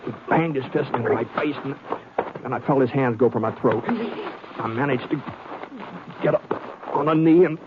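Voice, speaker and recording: An actor playing a reluctant, laconic drifter in a radio western, folksy and understated. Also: male voice, mid-range voice, normal speed, long pauses, very noisy, close to an everyday voice. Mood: wry, storytelling. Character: reluctant, laconic drifter telling his own western tale. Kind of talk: old-time radio western acting and first-person narration. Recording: dull old radio recording.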